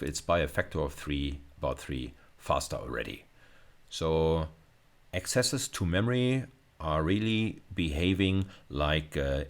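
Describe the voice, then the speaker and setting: A man giving a technical video lecture as a university lecturer, explaining things hesitantly with frequent pauses. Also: very deep voice.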